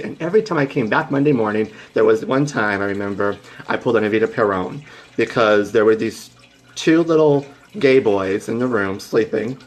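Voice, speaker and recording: A man in his twenties casually telling a motivational story to live viewers. He rambles with restarts, jumps into high excited tones and breaks off to greet viewers by name. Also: rough recording. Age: 20s. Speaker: livestream host.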